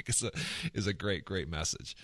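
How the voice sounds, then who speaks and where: A man's voice: deep voice, normal speed, fairly bright tone, no room sound, studio quality. A man with a Minnesota accent hosting an interview podcast, friendly and affirming, with frequent fillers. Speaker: podcast host.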